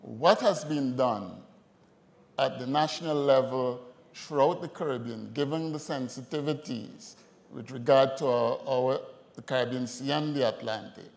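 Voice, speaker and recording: A man from Guyana with a Guyanese accent, making an earnest, measured comment from the floor at a conference. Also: medium pitch, vocal fry, a little mellow. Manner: measured, earnest, rhetorical questions. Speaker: audience member commenting. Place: large conference hall. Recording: reverberant conference hall.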